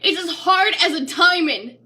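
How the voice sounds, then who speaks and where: A woman's voice: very high voice, animated, normal speed. A woman giving a dramatic, emotionally intense spoken-word poetry reading. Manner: intense, emotional, theatrical, swinging between hushed and crying out. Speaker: poetry reader.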